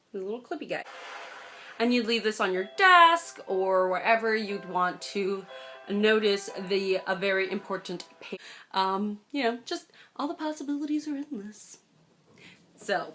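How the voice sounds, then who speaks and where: A woman's voice: mid-range voice, sharp tone. A young adult American woman chatting casually to camera as she tells a personal story, bubbly, gushing and emphatic. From United States. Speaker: vlogger telling a personal story.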